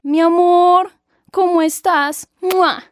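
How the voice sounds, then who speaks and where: Woman playing sugary high-pitched Colombian girlfriend. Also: steady tone, takes it slowly, faint music behind. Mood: sweet, eager. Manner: cutesy, gushing. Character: sugary, gift-hungry young girlfriend.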